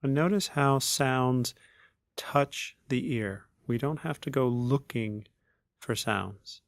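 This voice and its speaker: Male meditation guide. Very slow delivery, gravelly fry, long pauses between measured phrases. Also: mid-range voice, dry recording, clean studio sound. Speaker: meditation teacher.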